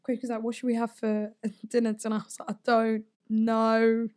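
A young woman chatting casually, giggling and animated, tired from not sleeping. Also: fairly high pitch, moderate pace. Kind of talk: casual chat.

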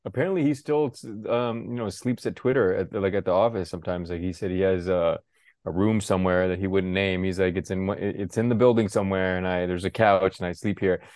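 A man's voice: sits low, steady tone, a little mellow, no echo, clean studio sound. A young man in casual podcast chat, blunt and profane, ranting with lots of fillers. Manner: blunt, profane, fast-shifting rants. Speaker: podcast co-host.

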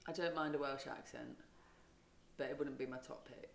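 A woman's voice: a bass voice, steady tone, normal speed, creaky, reverberant, a little hiss or hum. A podcast co-host, a woman, chatting casually, laughing often and telling rambling stories. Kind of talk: casual chat.

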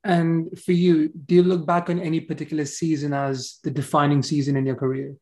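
A man's voice: a little high, little pitch movement, a little fast, a little mellow. A man hosting a podcast, asking long, thoughtful interview questions. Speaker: podcast host.

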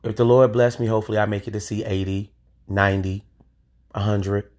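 A man's voice: lowish voice, steady tone, a little fast, a little mellow. A man in his forties hosting a solo podcast, talking in an emphatic, opinionated way with occasional swearing. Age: 40s. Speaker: podcast host.

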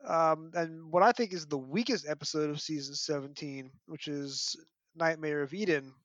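Higher-pitched man, dull lo-fi recording. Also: normal speed, fairly bright tone, no room sound. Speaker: podcast host. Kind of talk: casual fan discussion.

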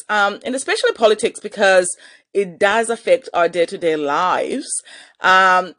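Woman, 30s, podcast host, chatty, animated, storyteller. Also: mid-range voice. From Malawi. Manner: chatty, animated storyteller who laughs often. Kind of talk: casual solo podcast monologue.

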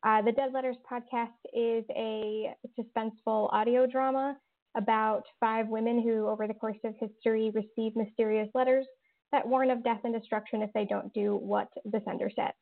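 A woman's voice: on the high side, level tone, moderate pace, vocal fry. A woman, a horror writer and interview guest, answering thoughtfully with lots of fillers and restarts. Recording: tinny phone-like line.

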